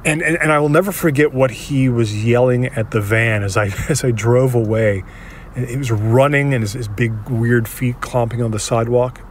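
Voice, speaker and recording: An actor as an uneasy, reflective man in an audio drama, revisiting a place from his past. His mid-pitched voice rambles naturally, halting and correcting itself as he records his thoughts. Clear urban noise and traffic ambience sit behind him. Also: natural voice. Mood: haunted, reminiscing. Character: uneasy, reflective man revisiting a creepy old apartment building. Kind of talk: naturalistic monologue, recording thoughts while on the move.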